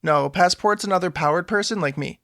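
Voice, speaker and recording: Actor as earnest young sidekick, dry close sound. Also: male voice, sits high, a little fast, vocal fry, fairly bright tone, no echo. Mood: earnest, explaining. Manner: polite, eager, rambling explainer. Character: earnest, awkward young male superhero sidekick with antlers. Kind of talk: scripted audio drama dialogue.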